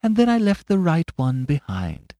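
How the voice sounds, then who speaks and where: A man voicing a small, timid country priest who speaks mildly and apologetically, in a raised, lively voice. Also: fairly high pitch, normal speed, dry recording, studio quality, pitched above the performer's own voice. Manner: mild, apologetic, eager.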